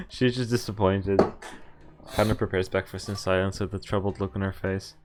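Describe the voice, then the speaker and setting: A man's voice: deep voice, sing-song, a little slow, heavy background noise. A man giving casual, amused and incredulous live commentary on a visual novel game, reading some of its text aloud. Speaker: game streamer.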